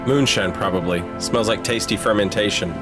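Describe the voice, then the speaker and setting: A man's voice: lowish voice, moderate pace. A man giving casual, off-the-cuff commentary as he plays a video game. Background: game music.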